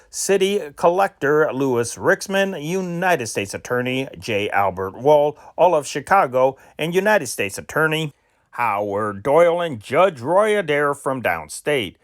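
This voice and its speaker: A man reading old newspaper news stories aloud in a measured, emphatic way, with occasional stumbles and restarts. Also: a little high, lively intonation. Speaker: news reader.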